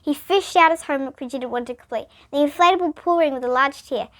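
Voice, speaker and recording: A female reader, reading a children's picture book aloud in an expressive, emphatic way. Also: high voice, quick pace.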